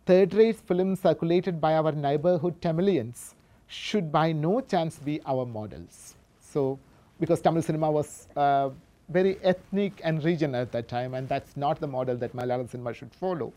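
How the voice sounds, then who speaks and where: Woman, low pitch, mellow dark tone, scholarly lecture, halting explanatory delivery, self-corrections. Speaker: academic presenter reading a paper. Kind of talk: scholarly lecture read from a paper with spoken asides.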